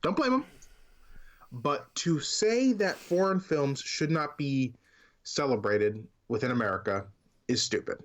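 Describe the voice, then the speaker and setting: A man's voice: medium pitch, animated, a little slow. An opinionated man chats casually as a podcast co-host. His voice sits in a medium register, and he puts firm emphasis on his points in a conversational, unscripted way.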